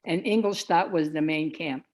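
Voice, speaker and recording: A woman's voice: sits low, moderate pace, darkish tone. A woman, telling a meandering story over a video call as a guest, often restarting her sentences. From United States. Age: 70s. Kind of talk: casual storytelling.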